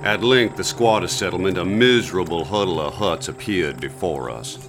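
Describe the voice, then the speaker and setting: An actor playing a grim, commanding police inspector who recounts a raid in a radio drama. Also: male voice, low voice, moderate pace, close to an everyday voice. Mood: grave, haunted. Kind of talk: radio drama acting with narration. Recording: music under the voice.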